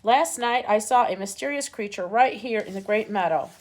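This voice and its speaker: A woman's voice: moderate pace, close to an everyday voice, poor audio. A woman doing a screeching, jumpy owl. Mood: urgent. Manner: hooting, screeching, high and loud. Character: fearful excitable male owl, crowd leader.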